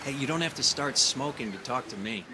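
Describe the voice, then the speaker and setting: An actor playing a discontented newcomer at a research lab in an audio drama, casual, wry and sarcastic. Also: male voice, mid-range voice, expressive, brisk, background noise, natural voice. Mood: wry, probing. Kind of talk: naturalistic audio drama acting.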